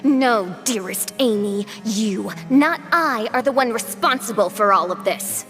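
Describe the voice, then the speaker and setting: A theatrical young princess in an anime game. The voice is high and forcefully bright, speaking in slow, grandiose, formal phrases over a music backing. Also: female voice, lively intonation. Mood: menacing, haughty. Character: theatrical young girl princess facing her cruel dark double.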